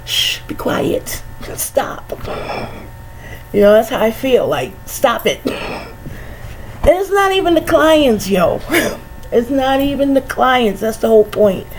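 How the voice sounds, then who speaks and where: Slow-talking tired woman with a cold. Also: fairly high pitch, sing-song, a little hiss or hum. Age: middle-aged. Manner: sighing, laughing, many "you know" fillers. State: stuffed up with a head cold. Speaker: vlogger. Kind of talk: casual reflective monologue.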